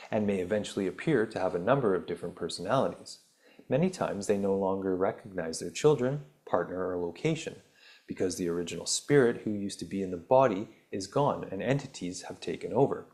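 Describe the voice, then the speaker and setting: A man hosts his own healing podcast, his voice sitting fairly low. His voice has gone raspy from reading aloud. He stumbles over words as he reads, then drifts into loose, rambling chat padded with ums and uhs. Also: darkish tone. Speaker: podcast host. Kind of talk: reading an article aloud with casual asides.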